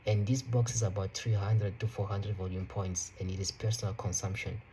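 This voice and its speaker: A young man around twenty whose first language is Portuguese, talking casually to camera from his room in an unhurried way, full of "you know" and "right". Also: lowish voice, a little hiss or hum. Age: young adult. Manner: relaxed, unhurried, repeats phrases, fillers like "you know" and "right". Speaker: vlogger. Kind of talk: casual motivational talk to camera.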